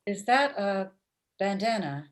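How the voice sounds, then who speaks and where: A woman's voice: mid-range voice, lively intonation, slow pace. Blunt lovestruck robot played by a woman, mid-range voice, stilted machine-like delivery, sitcom script read on video call. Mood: smitten. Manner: stilted, uncontracted, machine-like phrasing. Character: blunt, lovestruck robot matchmaker with literal speech. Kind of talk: table read of comedy script.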